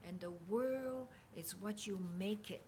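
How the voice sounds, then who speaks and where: A woman reading spiritual teachings aloud in a deliberate, emphatic way, sometimes dropping toward a breathy near-whisper. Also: mid-range voice, mellow, slight background noise. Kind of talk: slow dramatic reading of spiritual dialogue with casual asides.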